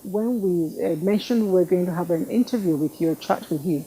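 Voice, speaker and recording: Woman interviewer, warm mellow tone, easy questions, lots of mm-hmms. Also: on the low side, fairly quick, low-quality recording. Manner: gentle, probing, with short backchannel murmurs. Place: living room studio with sofas.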